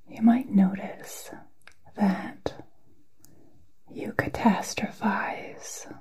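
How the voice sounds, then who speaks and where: A woman whispering a guided meditation in a soft, breathy, soothing way, with long pauses, as an ASMR host. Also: mid-range voice. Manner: slow, soothing, halting delivery with long pauses.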